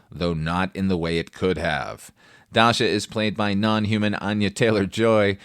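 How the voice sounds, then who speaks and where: Deep-voiced man reviewing films. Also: no room sound, clean studio sound. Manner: wry, opinionated, emphatic asides; casual swearing. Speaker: film review podcast host. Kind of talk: conversational scripted film review.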